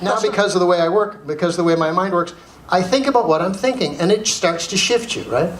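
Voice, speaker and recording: High-pitched Canadian man speaking in a roomy venue. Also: quick pace. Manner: animated storyteller, emphatic repetition. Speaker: keynote speaker and humorist. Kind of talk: humorous motivational keynote to a live audience. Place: indoor speaking venue with audience. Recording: roomy live hall, faint background noise.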